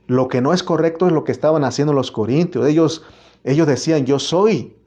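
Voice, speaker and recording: A man's voice: a little high, brisk, darkish tone. A man with a Mexican Spanish accent preaching a sermon as pastor, earnest and repetitive, often restarting his words.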